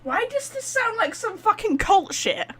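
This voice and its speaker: Expressive, high-pitched woman streamer. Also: measured pace. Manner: expressive, sweary, teasing mock outrage. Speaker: game streamer. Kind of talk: casual playthrough commentary.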